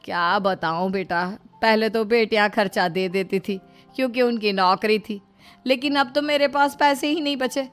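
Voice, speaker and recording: A woman's voice: mid-range voice, moderate pace, a bit bright, clear, resonant tone, natural voice. A woman voicing a crafty, firm middle-aged mother-in-law in a cartoon story, coaxing and pleading by turns. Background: faint background music.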